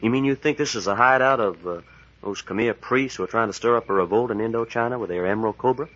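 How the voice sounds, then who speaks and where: An actor playing a brash, skeptical adventurer sidekick with a blunt, folksy manner in a 1940s radio serial. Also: male voice, mid-range voice, fast, fairly bright tone, lightly acted. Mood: casual. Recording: muffled vintage 1940s radio recording.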